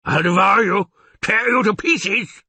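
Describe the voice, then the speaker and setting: A man voicing a huge, blustering, irascible bear-headed monster in a gruff, raspy storybook reading. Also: high-pitched, a little slow, pushed and bright, no echo, pitched above the performer's own voice, exaggerated voice. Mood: roaring threats. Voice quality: raspy, roaring. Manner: blustering, indignant, theatrical.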